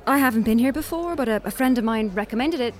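A woman's voice: fairly high pitch, fast talker, a little hiss or hum, close to an everyday voice. An actress playing a weary, polite Irish woman on a doomed date in an audio drama, dryly guarded. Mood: politely trying.

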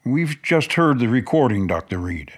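A man playing a concerned, formal gentleman asking earnest questions in a radio drama. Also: mid-range voice, big pitch swings, moderate pace. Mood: grave, insistent. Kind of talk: dramatic radio play acting.

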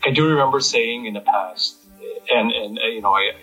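An American man giving a reflective interview, halting as he searches for words. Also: medium pitch, sharp tone, husky. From United States. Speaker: interviewee, independent bookstore owner. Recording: slightly muffled, music behind.

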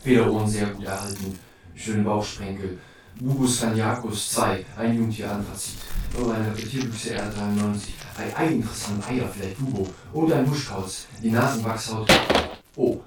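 Audio drama: male actor as eccentric bird scholar, low voice, absorbed, pedantic muttering, over clear background noise. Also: fairly even intonation, normal speed, reverberant, natural voice. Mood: fascinated, muttering. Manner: muttering, hesitant, pedantic recitation. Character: eccentric, scholarly male owl expert and investigator, absorbed in detail. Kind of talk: German audio drama acting.

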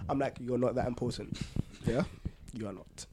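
A man's voice: mid-range voice, normal speed, noisy background. A man co-hosting a podcast in slangy, teasing banter. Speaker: podcast co-host. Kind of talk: casual banter.

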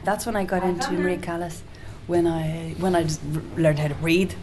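A woman with a low, soft-spoken voice chats casually as a guest singer-songwriter. She circles back on herself with restarts and little fillers. A clear hiss runs under the recording. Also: fairly even intonation, a little fast. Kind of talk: casual interview chat. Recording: noticeable background hiss.

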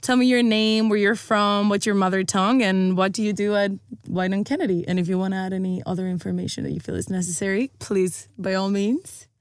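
A chatty woman hosts a podcast conversation, her mid-pitched voice close and dry. She talks in an easy, laughing manner. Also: steady tone, fairly quick, clear, resonant tone, dry recording. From Spain. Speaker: podcast host, copywriter. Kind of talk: casual podcast chat.

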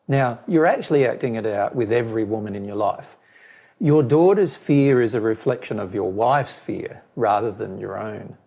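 A man whose voice keeps giving out, so it comes out husky and rough. His tone is dark and mellow, and he lays out his reading of a question in a measured way, often restarting a phrase. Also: on the low side. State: losing his voice, hoarse. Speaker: spiritual teacher answering a question. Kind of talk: explaining to a listener.

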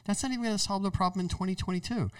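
A man's voice: high voice, racing, clear, resonant tone, dry recording. American guy podcast host explaining stuff, punchy and colloquial, dry sound, bit far from the mic. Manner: emphatic, repeats phrases for stress, colloquial. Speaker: podcast host and solar business owner. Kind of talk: explanatory commentary to listeners.

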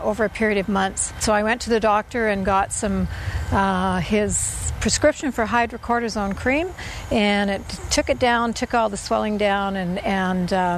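Woman's mid-pitched voice, faint background noise. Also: poor audio. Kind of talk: documentary testimonial.

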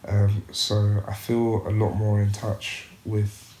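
Young male podcast guest, low voice, thoughtful and halting, roomy echo. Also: completely flat delivery, warm tone. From United Kingdom. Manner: reflective, frequent restarts and "um"s. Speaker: podcast guest, youth worker. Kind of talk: casual interview conversation.

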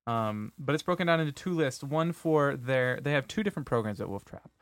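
A man's voice: mid-range voice, expressive, fairly quick, fairly bright tone, no echo. A young man, an opera singer co-hosting, chatting casually and laughing as he acts out stories. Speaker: podcast co-host, opera singer. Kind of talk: casual chat.

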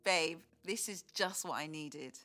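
A woman acting a young, affectionate, devout pregnant student in a modern audio play, expressive and given to gushing. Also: low-pitched, a little slow, no room sound. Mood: contented, tender.

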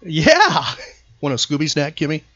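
A man's voice: on the high side, big pitch swings, measured pace, bright, forceful tone, dry recording, highs cut off. A man hosting a chatty trivia podcast in a playful, teasing manner. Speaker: podcast host.

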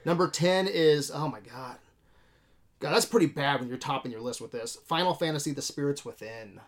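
A man's voice: a little high, normal speed. A man hosting a casual movie discussion podcast, talking informally with slang and free swearing. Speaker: podcast host.

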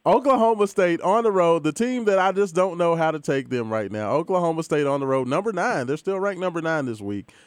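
A man hosting a casual sports betting chat, easygoing and quick to laugh, hand-offs loose with fillers. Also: a little high, animated, clean tone, no echo, clean studio sound. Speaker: host.

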